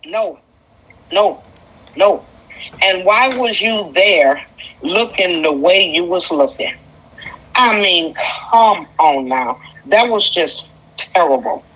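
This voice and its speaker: A woman's voice: fairly low pitch, animated, slow, pauses often, fairly bright tone. A woman in her fifties from Detroit, calling into a talk show with a Detroit accent, scolding and emphatic. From Detroit, USA. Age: 50s. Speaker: caller. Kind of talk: call-in radio talk. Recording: tinny phone line.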